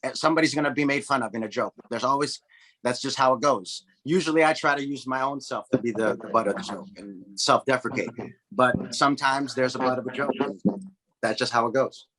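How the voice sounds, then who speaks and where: A man, a comedian, answering interview questions in a frantic way with many quick restarts. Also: mid-range voice, crowd noise, low-quality recording. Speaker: comedian guest.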